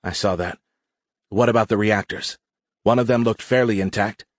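A man's voice: lowish voice, moderate pace, no room sound, lightly acted. Man playing a dry, composed female colonel. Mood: measured, probing. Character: calm, wry female colonel commanding a cadet crew.

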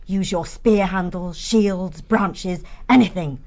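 A woman voicing a bossy, hot-tempered fox captain in an audiobook story, commanding and sharp-tongued. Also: lowish voice, slow pace, highs cut off. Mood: urgent, commanding.

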